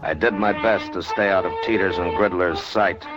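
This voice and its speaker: An actor playing a laconic frontier marshal in a radio western, dry, understated and unhurried. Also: male voice, low voice, over loud music, close to an everyday voice. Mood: measured narration. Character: laconic, steady frontier marshal in the Old West. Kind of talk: 1950s radio western acting with first-person narration. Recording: muffled, noisy old-time radio recording.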